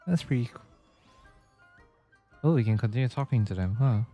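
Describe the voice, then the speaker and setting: A man's voice: medium pitch, expressive, moderate pace, warm tone, lo-fi sound. A man doing casual game playthrough commentary as a streamer, musing aloud and reacting as he plays. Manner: musing, reactive, repeats and echoes game lines. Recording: faint game music behind.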